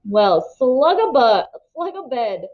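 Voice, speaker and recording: A woman's voice: high voice, sing-song, slow pace, voice pushed up, exaggerated voice. Female reader as rural mom, affectionate, no-nonsense, homespun talk, storybook dialogue, lo-fi sound. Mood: fond teasing. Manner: folksy rustic speech with dropped g's. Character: fond, firm rural mother presiding over breakfast.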